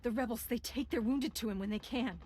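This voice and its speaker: An actress playing a wounded, frightened aid worker in a video game, weary and pleading, her speech halting with pain. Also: on the high side, a little mellow, husky. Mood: pained, earnest. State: weak, in pain, faltering.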